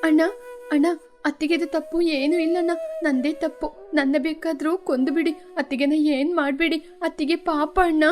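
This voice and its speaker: A woman's voice: high-pitched, moderate pace, darkish tone, soft background music, natural voice. A woman voicing a poor young widowed sister, frightened and grateful, who pleads tearfully. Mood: pleading.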